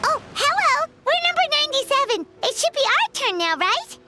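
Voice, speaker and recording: A woman voicing a tiny, excitable floating companion girl who talks about herself in the third person, in a video game. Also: very high-pitched, moderate pace. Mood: excited. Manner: bouncy, whiny, refers to self in third person. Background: game music.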